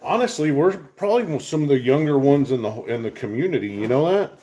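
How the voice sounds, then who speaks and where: A man's voice: fairly high pitch, expressive, fairly quick, warm tone, highs cut off. Easygoing West Texas man in his forties. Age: 40s. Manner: easygoing, restarts often, drawls "y'all". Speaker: livestream co-host. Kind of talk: casual kitchen chat on a livestream. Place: home kitchen.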